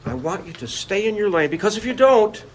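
An older American man giving an emphatic public speech with personal anecdotes and dramatic pauses. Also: high voice, big pitch swings. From Buffalo, United States. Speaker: club speaker. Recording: noisy live room recording.

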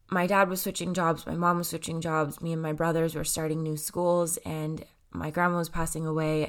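A woman in her twenties with a stuffy, congested nose, talking casually and rambling with lots of fillers and self-corrections. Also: low-pitched. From Canada. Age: 20s. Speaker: vlogger. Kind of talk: casual personal talk to camera.

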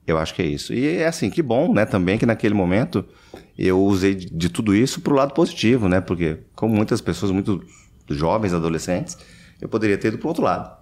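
Deep-voiced man, relaxed and hesitant. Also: quick pace. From Brazil. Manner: relaxed, hesitant, many fillers. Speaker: guest. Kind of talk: casual interview chat.

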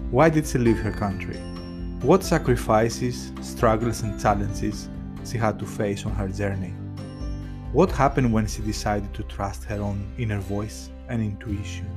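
A man's voice: on the low side, measured pace. A man hosting a podcast, reading a reflective scripted intro and then chatting with his guest with a few hesitations. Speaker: podcast host. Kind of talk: scripted intro narration, then conversational interview. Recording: music playing under the voice. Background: guitar music.

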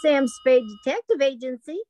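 Woman playing a high-voiced, devoted radio detective's secretary. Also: normal speed, heavy background noise, natural voice. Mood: eager, curious. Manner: eager, deferential. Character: devoted, meek female secretary to a private detective. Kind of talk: 1940s radio detective acting.

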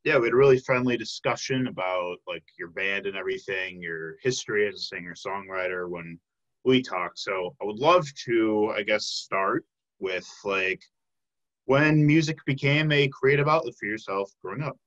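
Male podcast host, lower-pitched, forceful bright tone, friendly, informal, conversational. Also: normal speed, highs cut off. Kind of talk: casual interview chat.

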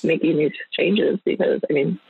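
Casting: female interview guest, younger adult, creaky and raspy, hesitant conversational delivery, dry phone-like sound. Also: low voice, moderate pace, dry recording. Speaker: guest, small business owner. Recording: thin phone-like line.

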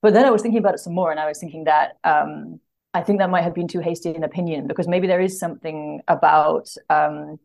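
A woman's voice: deep voice, fairly even intonation, fast talker, gravelly, a little mellow. A woman guest talking thoughtfully about literature in conversation, hesitant and correcting herself as she thinks aloud. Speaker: podcast guest, literary translator.

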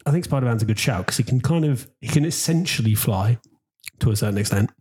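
A man's voice: medium pitch, animated, fast, darkish tone. Chill young guy on a podcast, laughs along, goofy little tangents. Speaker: podcast co-host. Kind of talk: casual chat.

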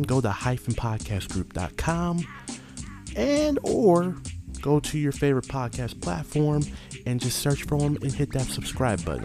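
Man, podcast host, casual promo pitch, conversational, prominent music behind the voice.

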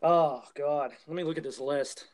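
Higher-pitched man giving blunt casual opinions. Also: steady tone, normal speed, a little mellow. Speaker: podcast panelist.

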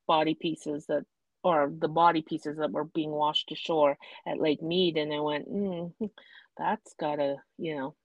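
Podcast co-host, woman, slow and hesitant, low voice, close dry sound. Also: no room sound, low-quality recording. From Canada. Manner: hesitant, lots of ums and trailing sentences. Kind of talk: casual chat.

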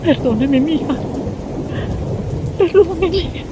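A woman playing a frightened middle-aged Colombian mother in an audio drama, pleading with hushed urgency. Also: extremely high pitch, slow pace. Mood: remorseful. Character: frightened, pleading mother guarding a traumatic secret. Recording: rain noise over the voice.

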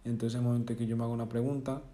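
Young man, reflective monologue, mellow dark tone, roomy echo. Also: medium pitch, flat delivery, racing. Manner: casual and earnest, with self-corrections and tag questions. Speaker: vlogger.

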